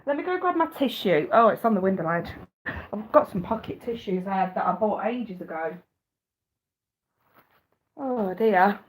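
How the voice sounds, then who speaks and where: A woman's voice: mid-range voice, animated, normal speed, pauses often, slight background noise, rough recording. A middle-aged woman with a blocked nose chatting casually with viewers on a live stream, swearing now and then.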